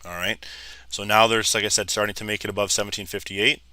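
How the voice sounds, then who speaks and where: A man in his thirties, a little hoarse, casually talking viewers through live trading charts and calling them "guys". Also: on the low side, little pitch movement, fast talker, sharp tone, dry recording. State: slightly hoarse, losing his voice. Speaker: trading educator. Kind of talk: live trading screen commentary.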